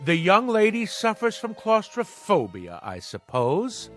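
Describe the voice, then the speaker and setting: A man's voice: high-pitched, wildly swooping pitch, measured pace, faint music behind, exaggerated voice. An actor playing a pompous, bossy, sarcastic businessman in a radio drama. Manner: haughty, sarcastic.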